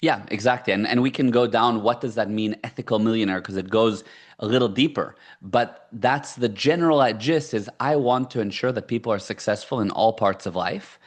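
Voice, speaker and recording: A man's voice: fairly low pitch, normal speed. Emphatic South African-born man, Hebrew native. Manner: emphatic, repeats key phrases. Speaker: guest, entrepreneur and coach. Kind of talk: casual podcast interview.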